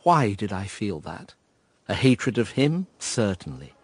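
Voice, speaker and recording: A man's voice: lowish voice, big pitch swings, a little slow, breathy edge, no echo, full character voice. An actor playing a wry, reflective man narrating his memories in a radio drama. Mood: rueful. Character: wry, reflective man recalling past events. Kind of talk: scripted first-person radio drama narration.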